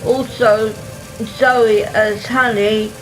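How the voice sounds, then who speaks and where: A woman's voice: on the high side, fairly even intonation, drawn-out words, a little hiss or hum, poor audio. A woman reading scripted narration and announcements for an amateur radio play.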